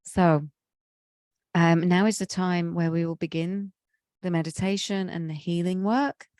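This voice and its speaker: A woman leading a guided meditation in a slow, soothing way, her voice slightly croaky from a cold. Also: on the low side, fairly even intonation, darkish tone, dry recording, clean studio sound. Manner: slow, soothing, drawn-out phrasing. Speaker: meditation leader.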